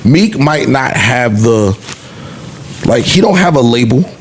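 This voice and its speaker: A man's voice: mid-range voice, lively intonation, slow, a little hiss or hum. A man speaking as a podcast panelist in casual discussion.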